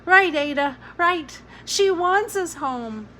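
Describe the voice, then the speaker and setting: A woman reading a storybook, voicing a young boy in a tantrum who howls and pleads in drawn-out cries. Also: very high voice, animated, pushed and bright, pitched above the performer's own voice, exaggerated voice. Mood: pleading. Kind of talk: storybook read-aloud.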